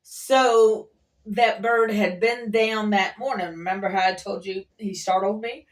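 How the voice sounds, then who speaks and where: A woman with a mid-range voice chats casually in an unhurried, meandering way, like a vlogger sharing everyday stories. Her delivery is folksy and playful. The voice sits a bit away from the microphone. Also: mid-range voice, fairly even intonation, recorded from a distance, rough recording. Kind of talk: casual rambling storytelling to camera. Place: at an airport workplace.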